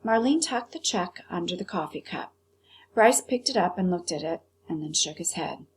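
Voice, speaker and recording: A woman's voice: on the low side, animated, moderate pace, breathy edge. A woman teacher narrating a children's novel as a read-aloud.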